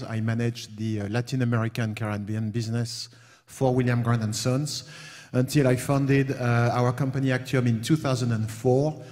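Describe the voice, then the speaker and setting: A man's voice: medium pitch, a little mellow. Middle-aged man from France, panel speaker, hesitant with fillers, long hall reverb. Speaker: panel speaker, company founder. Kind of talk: conference panel talk. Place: conference hall.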